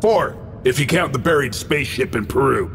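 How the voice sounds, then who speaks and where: Casting: cocky quipping shooter-game hero, flippant, creaky but lively and fairly high. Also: male voice, fairly quick, slight background noise. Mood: dismissive. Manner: sardonic, one-liners. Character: cocky wisecracking action hero, tough and flippant. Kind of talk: video game cutscene acting.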